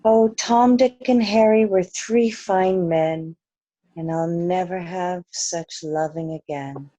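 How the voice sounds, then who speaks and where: A woman voicing Polly Garter, a wistful village woman, slowly singing a soft folk song in a sung reading of a radio play. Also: on the low side, no echo, highs cut off.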